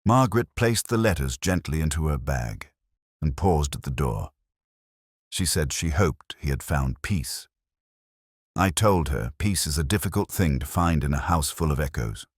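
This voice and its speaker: A man narrating a scripted first-person detective story in a measured, solemn, literary manner. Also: a bass voice, animated, breathy edge, no room sound, studio quality.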